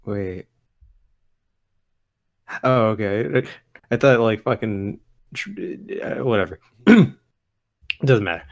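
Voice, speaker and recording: A man with a husky voice in casual, joking chat during a group reading. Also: on the low side, slow, pauses often.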